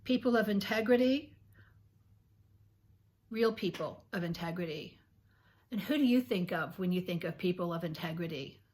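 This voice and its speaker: Woman in her sixties, scratchy voice, channel host, earnest soft reading, clears her throat. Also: lowish voice, moderate pace, pauses often. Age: 60s. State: scratchy voice from illness. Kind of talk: reflective reading to camera.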